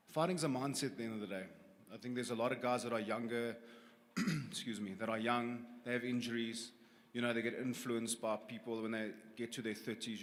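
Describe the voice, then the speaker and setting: Confident man in his forties, echoey hall. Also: mid-range voice. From South Africa. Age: 40s. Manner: confident, plain-spoken. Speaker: fighter at a press conference. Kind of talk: press conference answers. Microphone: handheld microphone. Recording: long hall reverb.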